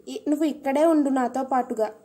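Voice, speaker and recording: A woman's voice: sits high, a little fast, warm tone, voice pushed up, lightly acted. A woman voicing a kind, pleading mother sparrow for a children's puppet story, calling out in repeated phrases. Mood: kind, reassuring. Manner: plaintive, repeats calls and names.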